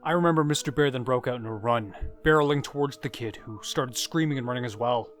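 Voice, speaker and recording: Male horror storyteller, mid-pitched, first-person scripted narration with dramatic pauses, music underneath.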